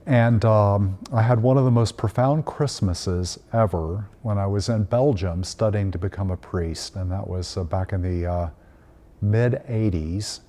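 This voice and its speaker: Priest in his fifties from Tennessee, mellow low voice, gentle reflective storyteller. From Tennessee, United States. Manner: gentle and unhurried, frequent "uh" fillers. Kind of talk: reflective Christmas storytelling message.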